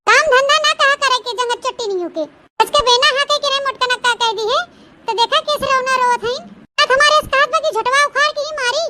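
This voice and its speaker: A woman's voice: very high-pitched, fast talker. A woman with an Awadhi accent voicing a bossy, spiteful senior wife who scolds and taunts in a comic cartoon. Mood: scornful. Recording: noisy, hissy voice track.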